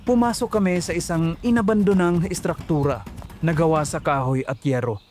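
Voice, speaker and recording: A man's voice: fairly high pitch, moderate pace, darkish tone, a little hiss or hum. A young man narrating a horror story in a tense, suspenseful telling.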